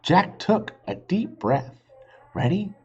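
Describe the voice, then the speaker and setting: A man's voice: fairly low pitch, big pitch swings, takes it slowly, a little mellow, husky. A man reading a children's storybook aloud expressively, like a teacher, on a slightly muffled recording. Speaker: reader, teacher.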